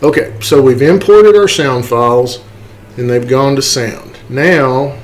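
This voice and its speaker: A man instructing in a casual, step-by-step software tutorial, explaining things and often checking in with short tag words. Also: medium pitch, takes it slowly, slight background noise, poor audio.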